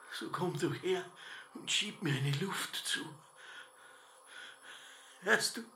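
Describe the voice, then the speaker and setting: Piercing, grandiose old Austrian farmer. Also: male voice, mid-range voice, bright, forceful tone, reverberant, slight background noise, exaggerated voice. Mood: weary, overheated. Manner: declamatory, stubborn, exultant. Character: dying, obsessed old farmer father, grandiose and defiant. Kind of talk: literary radio drama acting.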